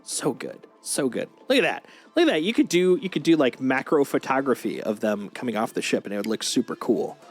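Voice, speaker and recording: Enthusiastic geeky man over clear music. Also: mid-range voice, big pitch swings, brisk, hardly any pauses. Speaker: streamer, host. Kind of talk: casual livestream chat, showing off collectible toys. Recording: music playing behind the voice.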